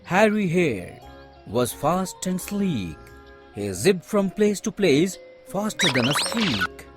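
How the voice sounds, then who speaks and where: Casting: expressive narrator for children's bedtime fables, mid-range voice with huge swings in pitch, music underneath. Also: slow pace. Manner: theatrical, expressive. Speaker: story narrator. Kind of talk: animated rhyming children's storytelling.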